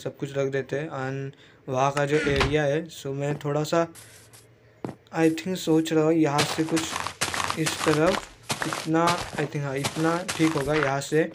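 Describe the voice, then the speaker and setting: A man, hoarse from a cold, chatting casually as he narrates his own game play and mixes English words into Hindi. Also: fairly high pitch, noisy background. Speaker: gaming YouTuber.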